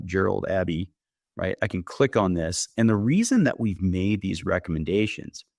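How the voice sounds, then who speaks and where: A man's voice: fairly low pitch, gravelly, darkish tone, no echo, studio quality. A man giving a conversational product demo, explaining things step by step with the odd check question. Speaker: company founder giving a software demo.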